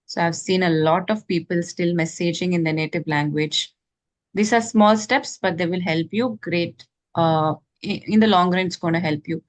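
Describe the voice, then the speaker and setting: A woman interviewing a learner and giving clear, encouraging advice on learning English. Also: on the low side, normal speed.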